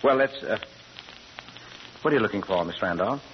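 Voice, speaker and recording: A man's voice: lowish voice, expressive, normal speed, lightly acted. A man acting as a scholarly doctor turned amateur burglar in a vintage radio drama, speaking precisely and hesitantly with polite pomposity. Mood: calm, candid. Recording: muffled old radio broadcast, faint noise.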